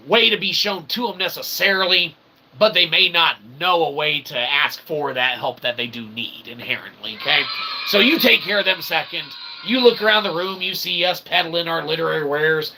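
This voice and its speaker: A man's voice: on the high side, moderate pace, vocal fry, sharp tone, slight background noise, poor audio. A man hosting his own channel with a rambling, self-deprecating comic monologue, folksy and often tagging his phrases with a questioning okay. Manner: folksy, repeats "okay?" as a tag; self-deprecating, tongue-in-cheek. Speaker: independent internet channel host promoting his books.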